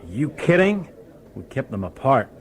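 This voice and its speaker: An actor playing a sardonic, resentful police colleague, casual and grumbling, in a radio drama. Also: male voice, mid-range voice, sing-song, measured pace.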